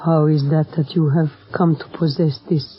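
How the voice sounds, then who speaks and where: Husky, haughty opera diva. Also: female voice, low-pitched, steady tone, normal speed. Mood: impatient, sceptical. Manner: haughty, dismissive. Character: proud, impatient female opera singer. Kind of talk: 1940s radio drama acting. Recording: muffled vintage radio, faint music.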